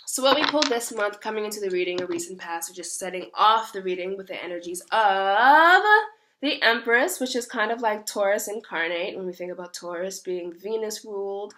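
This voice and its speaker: A young woman with a hoarse voice from a cold gives a chatty, rambling tarot reading full of fillers. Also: mid-range voice, brisk, fairly bright tone. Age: young adult. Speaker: tarot reader. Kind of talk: casual monthly tarot reading.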